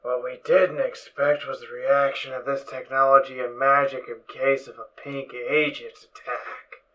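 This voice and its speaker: A man playing a distracted, brainy ghoul pony scientist in an amateur audio drama, lecturing in a brisk, absorbed way. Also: mid-range voice, little pitch movement, bright, forceful tone, poor audio. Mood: explaining.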